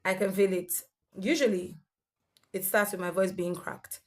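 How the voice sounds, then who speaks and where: A woman's voice: mid-range voice, steady tone, unhurried, a little mellow. Earnest young woman. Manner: earnest, instructive, with fillers and restarts. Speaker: health vlogger with sickle cell. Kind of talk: advice monologue to camera.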